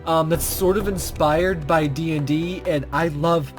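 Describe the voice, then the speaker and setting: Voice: mid-range voice, steady tone, measured pace, faint music behind. A let's play host with a chatty, self-deprecating manner, commenting casually on a game and reading its text aloud.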